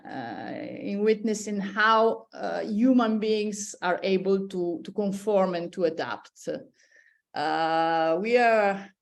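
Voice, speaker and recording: A middle-aged woman giving a conference presentation as a professor, speaking hesitantly with many fillers and restarts. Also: on the low side. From born in Poland, raised in Italy.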